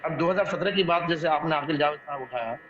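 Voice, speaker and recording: A man with a Bihari accent discussing local issues as a guest, repeating himself and searching for words. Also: a little high. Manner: halting, repetitive, searching for words. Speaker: local activist guest in online debate. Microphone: online call. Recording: echoey muffled online call, background crowd murmur.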